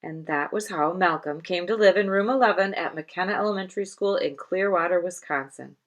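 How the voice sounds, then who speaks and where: A woman reading her own children's novel aloud as expressive scripted storytelling. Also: fairly low pitch, brisk.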